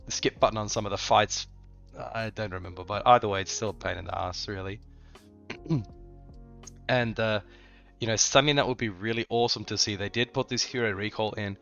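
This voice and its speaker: A man with a somewhat low voice and a forceful, bright tone talks casually about upcoming game content. He sounds stuffy from a cold and speaks loosely, with frequent ums and restarts. The audio is slightly dull, with faint music behind him. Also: clear, resonant tone. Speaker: mobile game channel host. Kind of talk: casual gaming update chat.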